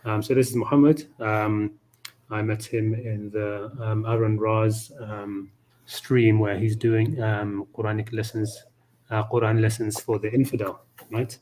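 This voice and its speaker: A man's voice: fairly low pitch, flat delivery, a little slow, a little mellow, rough recording. A man hosting a casual video chat, friendly and rambling, filling his sentences with ums. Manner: friendly, filler-heavy, rambling. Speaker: host. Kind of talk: casual conversation.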